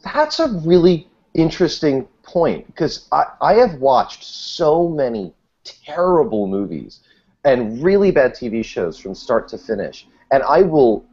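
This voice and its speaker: A man's voice: mid-range voice, very animated, unhurried, a little mellow. A man talking casually on a podcast panel in a wry, wordy way, leaning on emphatic words. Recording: muffled, dull lo-fi audio.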